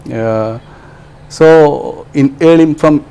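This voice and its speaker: A man from Kerala speaks English with a Malayali accent, his voice mellow and warm. He answers interview questions haltingly, leaning on fillers and repeating words as he restarts sentences. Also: fairly high pitch, gravelly, clear, resonant tone. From Kerala, India. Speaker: translator being interviewed.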